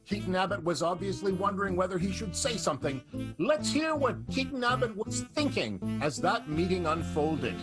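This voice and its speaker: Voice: medium pitch, sing-song, moderate pace. A narrator hosting an improvised radio show, setting up the next scene. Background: loud guitar music.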